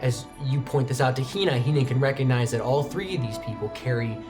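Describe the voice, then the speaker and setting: A man narrating a tabletop roleplaying game as game master, setting scenes in a measured way and dropping soft for quiet details. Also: mid-range voice, over loud music.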